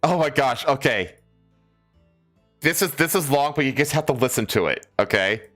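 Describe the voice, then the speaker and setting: A male host chats casually and comedically, his mid-pitched voice moving up and down a lot. Faint music sits behind him. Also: expressive, normal speed, fairly bright tone. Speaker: host reacting to clips.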